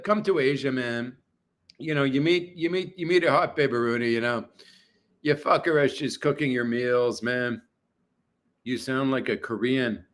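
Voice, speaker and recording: A man in his forties talks casually on a livestream from his apartment, answering viewers' comments. His voice is a little high and bright, with a forceful edge. He is crude and blunt, with plenty of swearing. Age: 40s. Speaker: livestream host.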